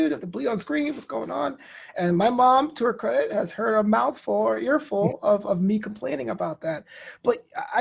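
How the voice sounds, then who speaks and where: A man's voice: sits high, gravelly, slightly rough. A man in his thirties from Brooklyn with an American accent, talking candidly and telling stories as an interview guest. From Brooklyn, United States. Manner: animated storytelling, acts out inner quotes. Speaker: interview guest, comedian and host.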